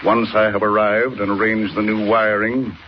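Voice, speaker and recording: An actor playing a cold, secretive professor villain in a 1940s radio serial, commanding, measured and menacing. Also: male voice, lowish voice, level tone, lightly acted. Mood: curt, commanding. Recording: dull, noisy old radio recording.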